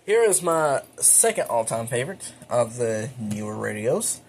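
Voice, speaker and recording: A man hosting a casual home show-and-tell video, speaking informally and a little haltingly with enthusiastic asides. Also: mid-range voice, big pitch swings, a little hiss or hum. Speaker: hobbyist video creator and host. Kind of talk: casual show-and-tell narration of a radio collection. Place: indoors at home.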